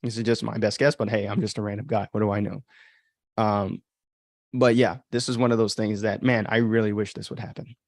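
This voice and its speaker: A man's voice: lowish voice, little pitch movement, brisk, dry recording. Casual man commenting, very dry close sound. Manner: informal, rambling, mild swearing, frequent "like" and "right?". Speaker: podcast host and author commenting on his own story.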